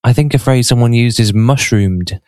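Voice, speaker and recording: A man chatting in a thoughtful, measured way, with fillers as he searches for words. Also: mid-range voice, a little mellow, no room sound, studio quality. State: hay fever. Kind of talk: casual conversation.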